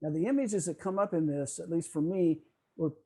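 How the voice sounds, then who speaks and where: A man presents to a club over a video call, in a partly scripted way. His voice is mellow and soft-edged, pitched a little high. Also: brisk, husky.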